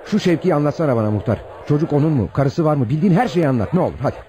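Man playing a mellow-voiced, distraught gentleman, swooping intonation. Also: fairly high pitch, expressive, rapid-fire, lightly acted. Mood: urgent, pleading. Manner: agitated, hesitant, dramatic. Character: distraught, emotional gentleman cheated by child seller. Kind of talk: Turkish radio theatre acting.

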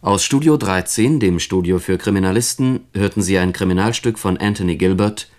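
A man's voice: low-pitched, a little fast, a little mellow. A man working as a radio announcer, reading a scripted closing announcement for a broadcast.

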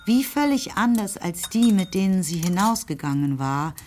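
A woman's voice: medium pitch, lively intonation, normal speed, darkish tone, slight background noise. A woman giving composed, slightly wry literary narration for a German radio play. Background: music.

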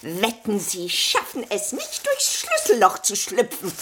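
An eccentric, haughty lady of the house in a radio drama. Her pitch leaps about dramatically as she speaks in a whimsical, imperious and suspicious way. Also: huge pitch swings, unhurried, bright, forceful tone, slight background noise, full character voice. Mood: whimsical, gracious.

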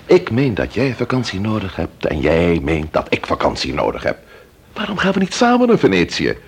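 An actor playing a composed male investigator in a radio drama, authoritative yet tender, with a husky voice. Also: low-pitched, wildly swooping pitch, moderate pace, a little hiss or hum, exaggerated voice. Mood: tender, coaxing.

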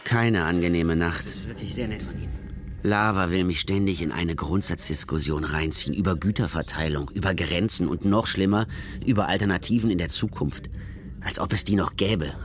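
An actor playing a cynical, self-pitying drug dealer on the run in a radio crime drama, sardonic and self-justifying. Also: male voice, deep voice, natural voice. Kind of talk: German radio crime drama, dialogue and narration. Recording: music behind the voice.

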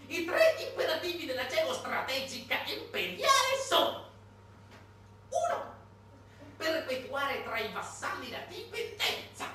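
Pompous imperial ideologue played by a male stage performer, raised swooping pitch, grand delivery, distant and echoey. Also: a little hiss or hum, heavily acted. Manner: slow, loud, high declamation. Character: pompous imperial strategist declaiming grand doctrine.

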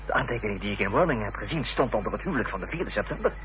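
Actor as an animated, insistent gentleman. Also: on the low side, very fast, slight background noise, lightly acted. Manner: emphatic, insistent. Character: insistent, agitated gentleman sure of his memory. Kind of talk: radio play acting. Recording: dull, humming old radio recording.

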